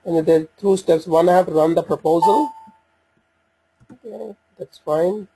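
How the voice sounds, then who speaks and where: A man giving an unscripted software walkthrough, talking through each step in a halting way as he thinks aloud. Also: high voice, low-quality recording. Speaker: software demo presenter.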